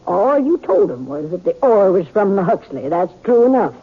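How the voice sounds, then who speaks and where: Husky old frontier woman. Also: mid-range voice, big pitch swings, moderate pace. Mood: shrewd. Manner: knowing, plain-spoken. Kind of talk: 1950s radio western acting. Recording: tinny, narrow old radio broadcast.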